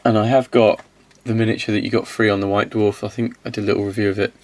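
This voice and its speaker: A man with a slight cold-hoarse voice, casually reviewing miniatures, rambling and correcting himself as he goes. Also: lowish voice, flat delivery, fast. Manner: rambling, self-correcting, counts aloud.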